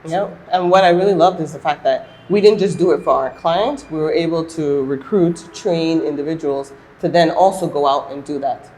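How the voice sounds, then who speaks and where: A woman's voice: sits low, normal speed, hardly any pauses, a little mellow, poor audio. Woman chatting, faint background noise. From born in Montreal, Canada, with years spent in Trinidad. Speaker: guest, entrepreneur and former aerospace engineer. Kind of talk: conversational interview answers.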